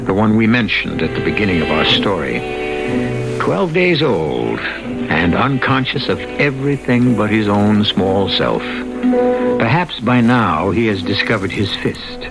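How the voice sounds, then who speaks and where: A man hosting a 1970s radio drama, narrating in a measured, deliberate storyteller's manner. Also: on the low side, wildly swooping pitch, vocal fry, rough recording. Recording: old radio broadcast, loud music. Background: loud music.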